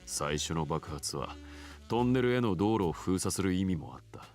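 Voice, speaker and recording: A man's voice: low-pitched, lively intonation, fast, darkish tone, faint music behind. An actor playing a jaded, cocky gangster man in a visual novel, blunt and offhand, coolly recounting his schemes.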